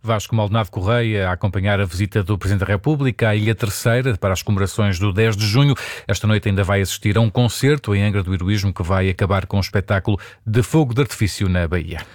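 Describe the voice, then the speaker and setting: A man reading a formal radio news bulletin in a studio, brisk with an occasional slip. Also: on the low side, dry recording. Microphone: studio mic.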